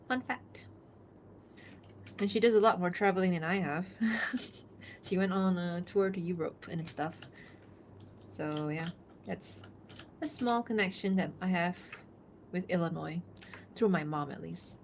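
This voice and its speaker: A woman's voice: lowish voice, a little hiss or hum. A woman from Malaysia chatting casually with her live-stream audience while she works, laughing often and reading out chat messages. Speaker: art streamer.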